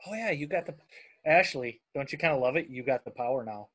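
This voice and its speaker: A man's voice: medium pitch, lively intonation, brisk, a bit bright. A man with a Minnesotan accent hosting a casual chat, talking in a rambling, heavily profane way. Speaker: podcast host.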